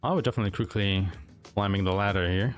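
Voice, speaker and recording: A man's voice: lowish voice, fast talker, soft background music. A man giving casual, rambling game commentary as a streamer, with a tentative, wry way of talking and background noise. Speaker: speedrunner and streamer.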